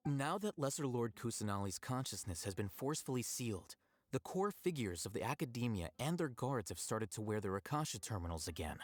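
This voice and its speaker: An actor playing a composed, calculating young scholar in a video game, explaining things in a measured, cool way. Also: male voice, medium pitch, very animated, no room sound. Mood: cool, confident.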